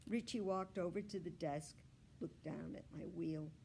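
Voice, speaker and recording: A woman storyteller reading a children's book aloud with expressive, deliberate narration. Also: fairly low pitch, gravelly, slight background noise.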